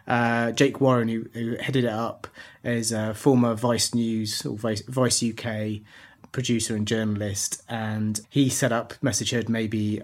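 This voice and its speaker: A man co-presenting a podcast, talking through his thoughts in a loose, discursive, conversational way. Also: mid-range voice. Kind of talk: conversational news commentary.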